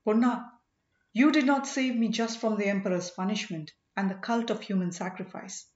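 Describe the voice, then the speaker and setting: A woman's voice: fairly high pitch, a little mellow, lightly acted. A woman voicing an ardent, grateful young king in exile with earnest, formal speech, as in a storybook. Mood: grateful, wistful. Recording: roomy, slightly dull home recording.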